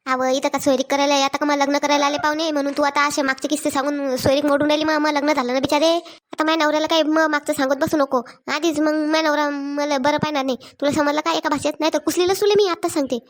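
A hot-tempered cartoon village wife, played by a woman, with a high voice and very quick delivery. Her rural Maharashtrian accent carries indignant scolding and threats, kept on a fairly even tone. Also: lightly acted. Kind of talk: Marathi comedy cartoon dialogue.

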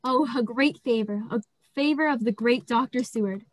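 Woman playing a high-pitched, deranged asylum patient. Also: moderate pace, no room sound, close to an everyday voice. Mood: desperate pleading. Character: deranged male asylum patient, pleading, gleeful, zealous. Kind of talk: radio play acting.

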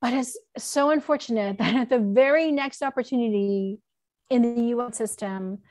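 Higher-voiced woman, informal and frank. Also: normal speed, darkish tone, no room sound, studio quality. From Alberta, Canada. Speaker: keynote speaker, law professor. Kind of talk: conversational academic talk. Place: indoor room at home, on a video call. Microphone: computer mic on a video call.

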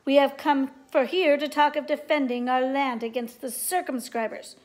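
A woman's voice: high voice, fairly even intonation, moderate pace, close to an everyday voice. A woman voicing a commanding, troubled male king from a storybook, declaiming emphatically. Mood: stern.

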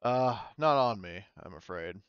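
A man's voice: lowish voice, animated, a little slow, smooth, no room sound, highs cut off. A man playing a polite, cautious male scientist in a tabletop game, speaking hesitantly and formally. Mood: hesitant, guarded.

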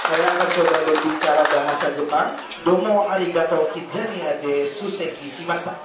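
A man's voice: fairly high pitch, normal speed, very noisy. A man hosting an opening ceremony in a theater hall, upbeat and joking with the crowd. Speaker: event emcee. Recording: echoey hall, distant mic, music. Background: music playing.

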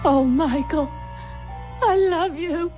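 A distraught young woman in a 1970s radio mystery. Her voice sits high and she pleads with haunted insistence. The recording is dull and old, like a broadcast tape. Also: takes it slowly, music in the background. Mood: tearful pleading. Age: young adult. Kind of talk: 1970s radio drama acting.

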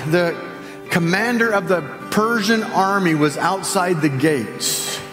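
A man in his fifties preaching a sermon from a church stage, gesturing and slowing down to stress key phrases. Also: high voice. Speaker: pastor. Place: church stage, large hall. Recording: echoey hall with music behind.

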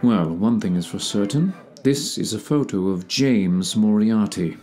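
A man playing a curt, aloof gentleman detective in a period audio drama, speaking formally and dismissively. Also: lowish voice, animated, measured pace, faint music behind. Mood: guarded, probing. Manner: formal, clipped, coolly dismissive.

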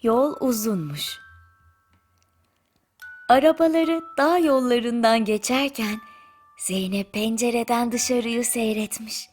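A woman narrating a children's bedtime fairy tale in a gentle, soothing storytelling manner, with deliberate pauses. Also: fairly high pitch. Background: music.

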